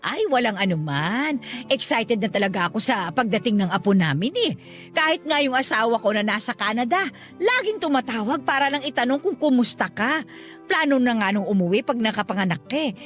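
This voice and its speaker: A woman playing a doting but insistent middle-aged mother-in-law in a radio drama, coaxing and expressive. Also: fairly high pitch, brisk. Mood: warm, excited. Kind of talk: Tagalog radio drama acting. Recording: music bed under the voice. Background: background music.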